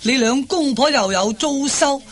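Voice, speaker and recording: A young Cantonese office bachelor in a radio farce. He is breezy and unhurried, with a slow comic delivery. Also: extremely high pitch, animated, fairly bright tone, exaggerated voice.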